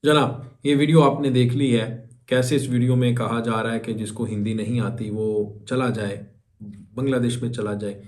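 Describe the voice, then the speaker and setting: A man's voice: mid-range voice, steady tone, moderate pace, a little mellow. Indignant man hosting a news reaction. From Pakistan. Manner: indignant, emphatic lecturing. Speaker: reaction video host.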